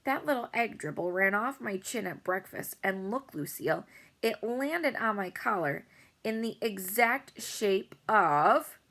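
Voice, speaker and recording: A woman voicing a scheming, dramatic little boy in a storybook, theatrical and sometimes hushed. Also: medium pitch, expressive, a little slow. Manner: theatrical, conspiratorial, hushed at times.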